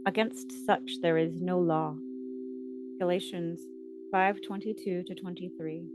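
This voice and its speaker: Lower-pitched woman leading meditation over noisy hum. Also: fairly even intonation, a little slow. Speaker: guided meditation leader. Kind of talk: scripted guided meditation narration with scripture readings.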